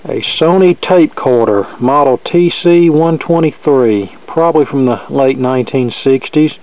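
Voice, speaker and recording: A man's voice: medium pitch, moderate pace, narrow, tinny audio, slight background noise. A plain-spoken, folksy man casually demonstrating a gadget as host, recorded on a camera's built-in microphone. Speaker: host demonstrating vintage electronics. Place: cluttered indoor workbench.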